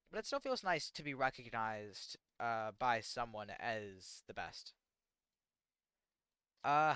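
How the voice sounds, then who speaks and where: Teen American male streamer, bright crisp voice, halting and self-deprecating, dry close mic. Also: mid-range voice, dry recording. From United States. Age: teens. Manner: halting and rambling, self-deprecating, many fillers. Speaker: livestreamer. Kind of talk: casual livestream chat answering chat questions.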